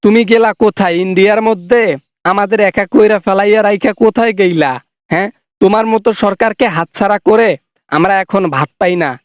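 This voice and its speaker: A man's voice: high-pitched, normal speed, clear, resonant tone, no echo, studio quality, close to an everyday voice. A man voicing a blunt, sarcastic working-class rickshaw-puller in a comic cartoon phone call, with a Bangladeshi Bengali accent. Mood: mock pleading.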